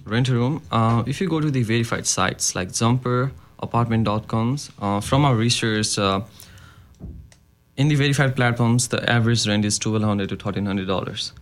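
A man's voice: mid-range voice, normal speed. Young man, earnest and hesitant. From Nepal. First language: Nepali. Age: young adult. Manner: earnest, hesitant with fillers and restarts. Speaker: student guest. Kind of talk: interview answers.

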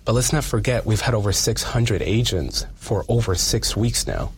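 A man's voice: lowish voice, normal speed, husky. A man speaking as a community activist in an interview, earnest and emphatic, repeating phrases for weight.

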